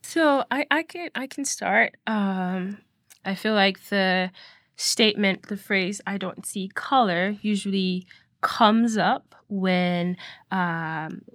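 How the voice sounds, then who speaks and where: A woman's voice: mid-range voice, takes it slowly, a bit bright, no echo, clean studio sound. A woman raised in Nigeria, a podcast guest talking in a reflective, emphatic way with many fillers. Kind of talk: conversational discussion.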